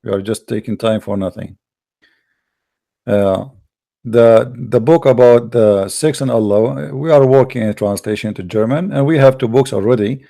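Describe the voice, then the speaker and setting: Mellow-voiced man lecturing in a debate. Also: mid-range voice, moderate pace, vocal fry. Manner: argumentative, emphatic, lecturing. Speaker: livestream debate host. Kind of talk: lecturing religious debate talk.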